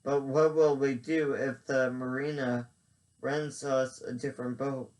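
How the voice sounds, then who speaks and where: A man's voice: mid-range voice, little pitch movement, unhurried, a little mellow, far from the mic, close to an everyday voice. A man voicing a gloomy, simple-minded Cajun man in a slightly raised, plain voice. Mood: worried.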